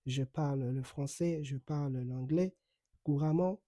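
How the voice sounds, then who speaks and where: A middle-aged man from Congo whose first language is Kikongo speaks in a very dark, mellow voice. He explains at a steady pace, filling pauses with hesitations. The sound is close and dry with no room around it. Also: mid-range voice, dry recording. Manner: measured, explanatory, with frequent hesitations. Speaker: online commentator and accredited journalist. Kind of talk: direct-to-camera commentary. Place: home in the United States.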